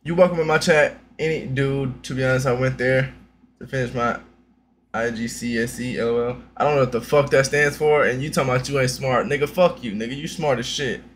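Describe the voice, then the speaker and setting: A man's voice: medium pitch, brisk, a little hiss or hum. A young man streaming a reaction on a headset, brash and profane, full of loose slang. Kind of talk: reaction commentary.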